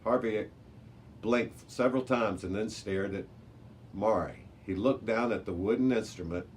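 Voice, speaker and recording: A man's voice: on the low side, unhurried. A man reading a children's chapter book aloud as a storyteller, with the odd small stumble over the words. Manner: occasional stumbles and self-corrections while reading. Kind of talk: children's audiobook read-aloud. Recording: noisy amateur recording with background hiss.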